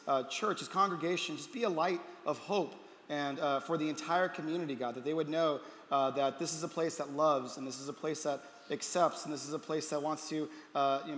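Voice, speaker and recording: A man pastor leads his congregation with a bright, forward voice, joking easily from the stage. His slightly high voice carries through a long, reverberant hall, with a faint murmur of people and a little noise behind it. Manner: energetic, joking, then earnest praying. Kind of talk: sermon and stage hosting. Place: church auditorium stage.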